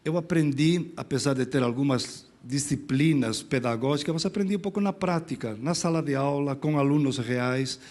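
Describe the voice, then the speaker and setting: A man's voice: medium pitch, moderate pace, hardly any pauses. Spanish-accented man giving a lecture. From Spain. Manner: relaxed, self-deprecating, rambling with restarts. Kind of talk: conference talk.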